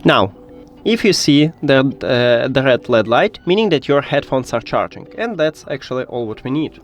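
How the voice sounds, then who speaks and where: Man giving step-by-step tech instructions, lively pitch. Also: normal speed, soft background music. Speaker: tech tutorial presenter. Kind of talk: step-by-step spoken tutorial.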